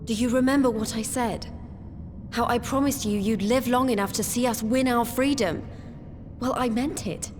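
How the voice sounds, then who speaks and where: A woman's voice: on the high side, moderate pace, lightly acted. An actress playing an earnest, determined female freedom fighter in a video game, speaking with sincerity. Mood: earnest, resolute. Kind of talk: dramatic video game voice acting. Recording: long reverb, noisy background.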